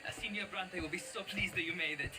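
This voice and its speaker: Clear music sits behind a high-voiced Italian henchman played by a man. He is servile and effusive, halting between phrases, in cinematic game style. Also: lightly acted. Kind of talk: cinematic video game acting.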